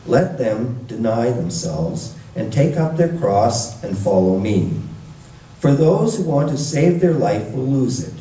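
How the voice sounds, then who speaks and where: A man reading scripture to a church congregation in a measured, deliberate way, recorded with a roomy echo from a distant mic. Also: medium pitch, mellow. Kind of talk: scripture reading with brief introduction.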